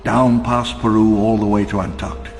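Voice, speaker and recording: A man's voice: fairly low pitch, fairly even intonation, close to an everyday voice. Elderly male founder, played by an actor, theatrical reflective memoir for a game log; long reverb, music behind. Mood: wistful, reflective. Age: older. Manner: measured, theatrical, ruminative storytelling. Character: wistful elderly male park founder recalling his downfall.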